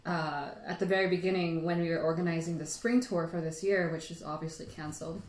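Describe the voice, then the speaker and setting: A woman host telling stories casually to livestream viewers, animated and rambling, peppering her sentences with "like". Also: on the low side, brisk, a little hiss or hum. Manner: animated, rambling, giggly, frequent "like". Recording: echoey room.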